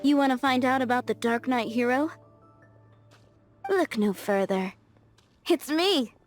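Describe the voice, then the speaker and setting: A slow, teasing tavern owner. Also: female voice, high voice. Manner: teasing, jokey, laid-back. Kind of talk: game cutscene voice acting. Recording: game audio with faint music.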